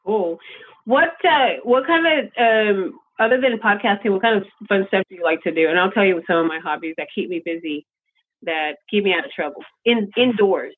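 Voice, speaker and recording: Halting man on a tinny line. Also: sits high, slight background noise. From United States, childhood in Colombia. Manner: rambling, halting, many fillers. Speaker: podcast host. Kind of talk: casual podcast chat.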